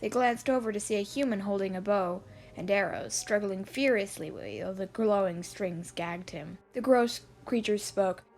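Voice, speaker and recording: A woman's voice: medium pitch, moderate pace, a little mellow. A woman reading scripted fan fiction as the narrator of an audio story. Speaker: podfic narrator.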